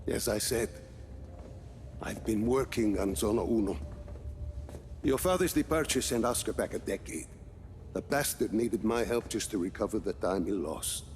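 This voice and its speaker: Actor as a measured older scientist. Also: male voice, lowish voice, darkish tone, a little hiss or hum, lightly acted. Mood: confident plotting. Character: older male scientist, thoughtful, proud, weary ex-prisoner plotter. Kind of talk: cinematic video game acting. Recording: music behind.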